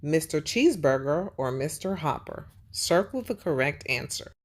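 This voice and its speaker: A woman's voice: low voice, animated, moderate pace. A woman hosting a children's read-aloud and lesson, speaking expressively and addressing young listeners directly.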